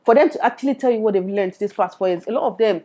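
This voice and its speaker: Fast-talking Nigerian woman vlogger. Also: medium pitch. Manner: animated, switches into Pidgin. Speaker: YouTuber. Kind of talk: opinionated to-camera vlog. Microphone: lapel.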